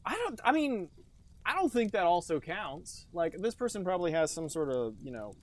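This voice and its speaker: A man's voice: high voice, very animated, moderate pace. A man hosting a comedy podcast, joking sarcastically in casual chat. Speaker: podcast host.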